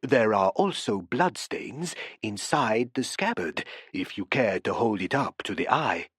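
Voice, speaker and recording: A man reading an audiobook, voicing a courteous, probing male professor who speaks softly and gently presses with questions. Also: lowish voice, lively intonation, unhurried, husky, dry recording, clean studio sound, voice pushed down, full character voice. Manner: soft, interested, courteous.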